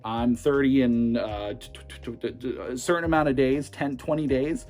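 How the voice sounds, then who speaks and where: A young man of average pitch chats loosely to his viewers, rambling through plans with lots of fillers and false starts. Faint music plays quietly under his voice. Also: normal speed. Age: 20s. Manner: relaxed, rambling, many fillers and restarts. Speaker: streamer and vlogger. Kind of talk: casual talk to camera.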